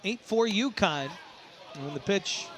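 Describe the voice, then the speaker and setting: A man calling a baseball game live as play-by-play announcer, excitable and given to shouting on big plays. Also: sits high, slow pace, slight background noise. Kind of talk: live sports commentary. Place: outdoor baseball ballpark.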